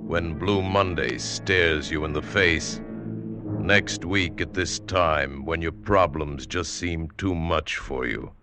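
Male vintage radio promo voice: extremely low, portentous, unhurried, over clear music. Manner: slow, portentous. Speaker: announcer. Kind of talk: ominous, dramatic 1940s-50s radio promo. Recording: distant old radio sound under music.

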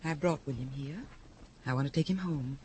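An actress playing a lonely widow in an old radio drama, speaking with wounded, wistful sorrow. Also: female voice, low voice, moderate pace, slight background noise, natural voice. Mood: sorrowful. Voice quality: wistful, wounded, then sharp. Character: grieving, bitter woman in love with a dead writer. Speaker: widow visiting a detective. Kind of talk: 1950s radio drama acting.

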